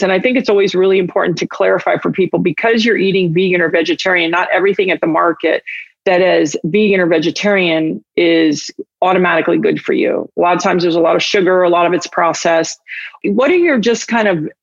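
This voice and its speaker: A woman's voice: on the low side, creaky, no room sound, muffled recording. A woman hosting a podcast, chatting casually in an interview and rambling as she thinks aloud. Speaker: podcast host.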